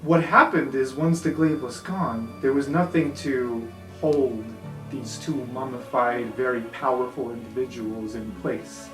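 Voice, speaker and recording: A man narrating an improvised tabletop fantasy game as game master, in an excitable, dramatic way. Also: medium pitch, measured pace. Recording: roomy echo, distant, background music.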